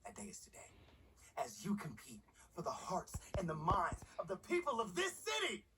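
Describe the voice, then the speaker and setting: A man playing an excitable, scheming young friend who pitches grand plans theatrically in a video game cutscene. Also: moderate pace, recorded from a distance, background noise. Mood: grandly dramatic. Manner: theatrical, excitable, stammering into emphasis.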